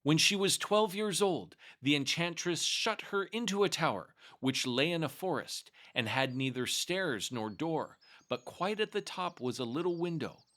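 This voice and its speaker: A man narrating a fairy tale aloud as a storyteller, expressive and measured in his delivery. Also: fairly high pitch.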